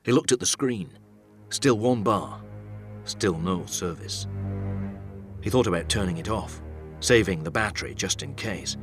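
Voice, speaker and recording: A man reading a dark thriller audiobook as scripted narration, measured and suspenseful. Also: deep voice, lively intonation, music in the background.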